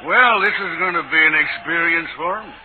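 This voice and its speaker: Higher-pitched old American father played by a man. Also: a little fast, lightly acted. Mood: brisk. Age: older. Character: proud, fatherly old hotel owner. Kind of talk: 1940s radio acting. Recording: noisy, phone-like 1940s radio recording.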